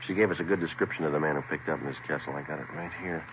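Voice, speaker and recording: An American man playing a terse, businesslike detective sergeant in a 1950s radio crime drama, deadpan and clipped, on a noisy vintage recording. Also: rumbling low, racing, phone-quality sound. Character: terse, businesslike male police detective sergeant narrating his case.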